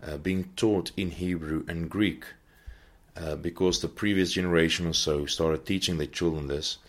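A man hosting a podcast, giving an unscripted Bible teaching talk and often pausing to search for his words. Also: deep voice, fairly even intonation. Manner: earnest, searching for words as he goes.

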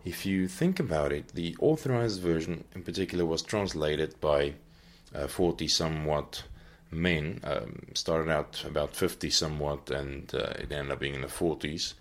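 A man hosting a podcast, giving an unscripted Bible teaching talk and often pausing to search for his words. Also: extremely low pitch. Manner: earnest, searching for words as he goes.

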